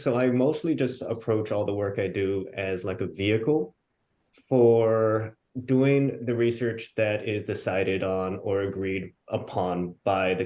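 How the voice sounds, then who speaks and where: Low-voiced laid-back male researcher. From Hawaii. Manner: relaxed, self-deprecating, loose phrasing. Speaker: panelist researcher. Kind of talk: casual panel talk on a video call. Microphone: video call.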